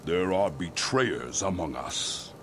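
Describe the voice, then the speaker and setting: An actor playing a grave, vengeful religious leader in a fantasy game, delivering measured, preaching oratory. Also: male voice, sits low, lively intonation, a little hiss or hum. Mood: grave, righteous. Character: solemn, vengeful male religious leader rallying followers. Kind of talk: video game cutscene acting.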